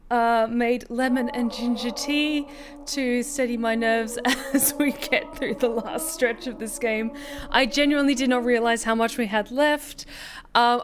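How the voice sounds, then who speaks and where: High-voiced chatty woman streamer, noisy background. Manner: chatty, rambling, informal. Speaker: streamer and host. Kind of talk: casual game livestream chat.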